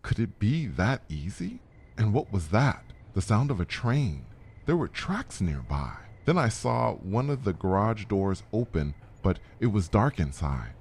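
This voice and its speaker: A man's voice: fairly low pitch, very animated, a little slow, a little mellow, breathy edge. A man narrating a suspenseful first-person story in short, dramatic phrases, as a scripted storyteller.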